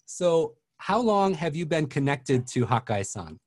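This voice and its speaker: A man's voice: a little high, big pitch swings, a little slow, dry recording. Host, man in his forties, friendly leading questions over a video call, dry close sound.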